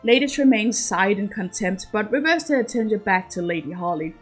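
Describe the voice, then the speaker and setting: A woman's voice: medium pitch, animated, a little fast, breathy edge, soft background music. A woman narrating a piece of fiction as an amateur audiobook-style reader. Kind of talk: scripted story narration.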